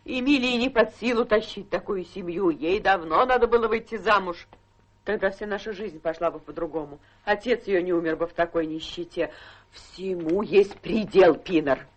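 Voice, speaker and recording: A woman's voice: on the low side, moderate pace, lightly acted. An actress playing a weary, plaintive elderly mother from a poor household in a radio drama. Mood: bitter reproach. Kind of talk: Russian radio drama stage acting.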